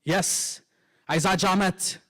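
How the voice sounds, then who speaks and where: A man's voice: sits high, steady tone, slow pace, fairly bright tone, no echo, studio quality. A young man with a Trinidadian accent performing a spoken word poem with rhythmic, emphatic delivery. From Trinidad and Tobago. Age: young adult. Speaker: poet.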